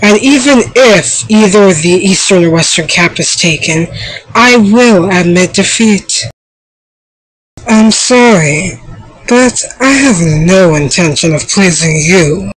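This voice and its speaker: A proud, stern young warrior woman of feudal Japan, acted by a woman in video game style. She speaks slowly and formally, with haughty weight on her words. The sound is dry and close to the room-free side, captured on a low-quality amateur mic. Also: animated, dry recording. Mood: resolute. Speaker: amateur voice actor. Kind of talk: video game character voice reel.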